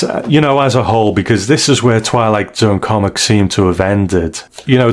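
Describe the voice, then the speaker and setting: A man hosting a podcast, recapping and reviewing stories in a casual, slightly hesitant conversational way. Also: lowish voice. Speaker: podcast host.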